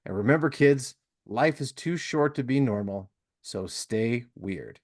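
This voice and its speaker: A man's voice: mid-range voice, lively intonation, unhurried. A man hosting a casual podcast interview in a folksy, courteous manner, moving between chat and plugs. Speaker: podcast host.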